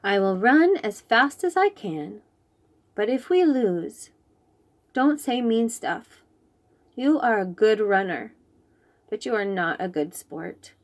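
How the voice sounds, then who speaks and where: A dramatic little girl who loves fancy words and sounds earnest and theatrical, as a woman reading a storybook puts her on. Her voice swings widely in pitch, and her delivery is slow and deliberate. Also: expressive.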